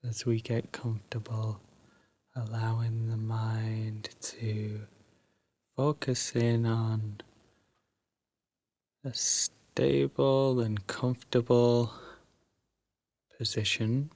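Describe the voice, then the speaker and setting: A man guiding a sleep meditation in a soft, drowsy, soothing voice with long pauses, at times breathy and close to a whisper. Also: lowish voice, flat delivery, dry recording. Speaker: meditation guide. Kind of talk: guided sleep meditation, Yoga Nidra.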